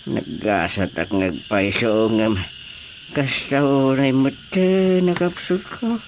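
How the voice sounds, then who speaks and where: A man's voice: medium pitch, takes it slowly. A cowardly, whining captive in an Ilocano radio comedy. He stammers and groans his way through pleas in an Ilocano accent, with a little noise behind him. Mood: flustered, pleading. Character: cowardly, whining captive man, flustered and pleading.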